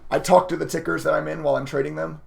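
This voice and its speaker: A man with a raspy voice chatting casually to viewers as a livestreaming day trader, emotive and talkative. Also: on the high side, little pitch movement, very fast. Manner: chatty, emotive, laughs often. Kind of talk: casual live stream commentary to viewers.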